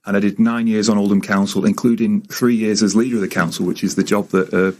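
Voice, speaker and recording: A man's voice: fairly low pitch, fairly even intonation, fast, a little mellow. Earnest Manchester man in his thirties. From England, Greater Manchester. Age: 30s. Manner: earnest, talkative, frequent restarts. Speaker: local councillor guest. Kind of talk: radio interview. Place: radio studio.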